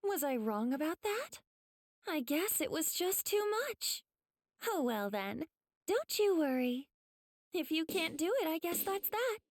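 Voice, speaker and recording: Gentle, high-voiced young female mentor. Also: sing-song, unhurried, no room sound, clean studio sound, heavily acted. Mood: teasing sweetness. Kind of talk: anime game voice acting.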